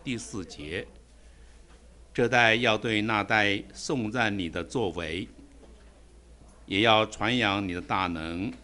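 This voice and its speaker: Male reader, very slow bright low voice, psalm read verse by verse, faint ambience. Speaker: scripture reader. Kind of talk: slow scripture reading.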